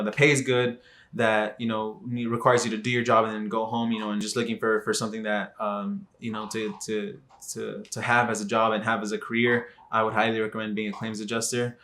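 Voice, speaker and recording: A man's voice: lowish voice, a bit bright. A man in his twenties from Texas, talking casually to camera and rambling with many fillers and restarts. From Dallas, Texas. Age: 20s. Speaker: vlogger.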